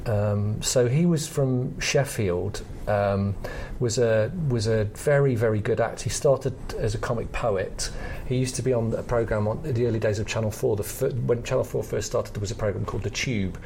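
A man's voice: fairly low pitch, moderate pace, vocal fry, few pauses, darkish tone, a little hiss or hum. A middle-aged man with a British accent co-hosting a podcast, talking through comedy history in a reflective, hesitant, explanatory way. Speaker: podcast co-host. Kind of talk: conversational analysis of comedy history.

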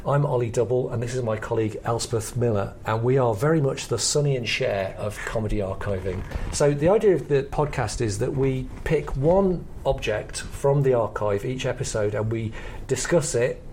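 A man's voice: mid-range voice, moderate pace, darkish tone, slight background noise, poor audio. A British man hosting a podcast in a conversational, explanatory style. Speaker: podcast host, comedy academic.

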